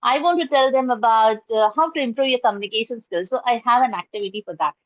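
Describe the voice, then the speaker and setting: Woman, middle-aged, Indian accent, Urdu first language, fairly high voice, earnest with lots of "uh", video call, dry muffled sound. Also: fast, no room sound. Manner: earnest, many fillers and restarts. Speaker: guest, college professor and trainer. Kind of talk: casual interview answers. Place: indoors at home, curtain behind.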